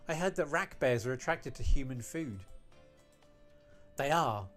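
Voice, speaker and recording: Expressive man reading visual novel dialogue. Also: medium pitch, measured pace, faint music behind. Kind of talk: character readthrough of scripted dialogue.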